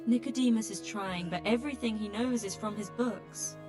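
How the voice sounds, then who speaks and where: An actress playing a weary, blunt healer who tends refugees, with dry exasperation, in a dramatic video game. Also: female voice, medium pitch, moderate pace, music in the background, close to an everyday voice. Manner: exasperated, dry, plain-spoken.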